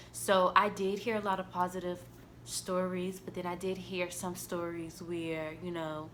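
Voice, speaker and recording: A woman's voice: on the low side, pushed and bright, slight background noise. A woman in her twenties talking casually to camera as a vlogger, chatty and loose, with a lot of "like" and "you know". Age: 20s. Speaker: vlogger mom.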